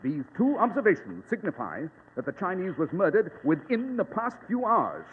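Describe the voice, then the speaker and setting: An imperious British detective reasoning aloud in formal, exact phrases for an old radio mystery. His fairly high voice swings widely in pitch, over a thin, tinny vintage recording. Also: huge pitch swings, normal speed, big-room sound, a little hiss or hum. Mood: confident, deductive. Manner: pompous, exact, formal deduction. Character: Sherlock Holmes, brilliant, imperious, precise English detective. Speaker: actor in a radio detective drama. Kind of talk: 1940s radio acting.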